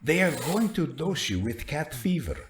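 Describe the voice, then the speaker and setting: A man's voice: a little high, very animated, moderate pace, a little hiss or hum. A man playing a captive doctor in an audio drama, speaking with grave, earnest urgency and careful deliberation. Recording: echoing hard-walled room sound.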